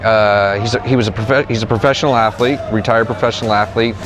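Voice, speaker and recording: A man in his thirties being interviewed at an open-air training gym, talking in a halting way with many restarts. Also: lowish voice, steady tone. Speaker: gym staff member. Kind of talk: on-camera interview. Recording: outdoor noise behind the voice.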